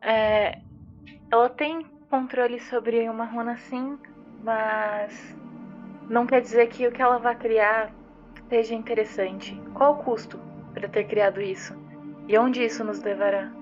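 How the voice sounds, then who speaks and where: A woman plays a wary, questioning adventurer in a tabletop fantasy story. Her voice is a bit high, her delivery pointed. The recording is a little dull and has faint music underneath. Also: fairly even intonation, unhurried, lightly acted.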